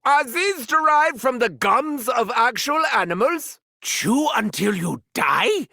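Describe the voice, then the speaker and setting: German mad doctor, manic, sadistic, high and swooping, gravelly edge, bright sharp tone, slow deliberate delivery, dry studio sound. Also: male voice, sing-song, no echo, heavily acted. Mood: mocking. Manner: theatrical, cackling, shouted gloating. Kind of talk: video game character barks.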